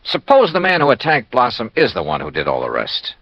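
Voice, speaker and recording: A man's voice: mid-range voice, huge pitch swings, brisk, no echo. An actor playing a persistent, wry American insurance investigator in a classic radio detective drama, clipped and dry in his delivery. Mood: probing. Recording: dull, muffled old radio recording.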